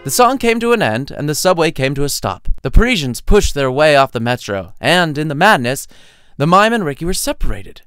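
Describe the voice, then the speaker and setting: A man's voice: a little high, sing-song, a little fast, a bit bright, smooth, no echo. A man reading his own short story as scripted audiobook narration, with a wry, playful storytelling manner.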